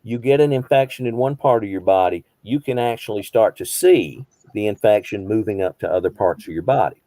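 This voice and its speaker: A man's voice: fairly low pitch, a little fast, mellow, dry recording. A man in his fifties, a behavioral health counselor, teaching a small group conversationally, posing rhetorical questions and repeating phrases for emphasis. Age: 50s.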